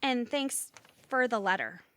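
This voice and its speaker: A woman's voice: on the high side, animated, a little slow, a bit bright, no room sound. A woman playing a hurt, wary adult daughter in an audio drama, earnest and emotional. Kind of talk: audio theatre acting.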